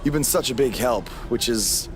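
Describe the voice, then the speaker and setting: An actor playing an earnest, determined rookie wrestler in conversational video game dialogue. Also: male voice, mid-range voice, expressive, moderate pace, noisy background, lightly acted. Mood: earnest, pleading.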